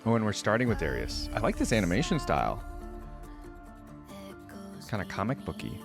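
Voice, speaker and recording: Male reaction host, low register, casual commentary, music playing clearly behind. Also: sing-song, moderate pace.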